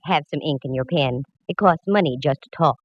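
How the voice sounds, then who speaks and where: An actress playing a young female private eye who is wry, quick-witted and sardonic, heard on a muffled old radio broadcast. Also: deep voice, over loud music, close to an everyday voice. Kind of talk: 1940s radio acting.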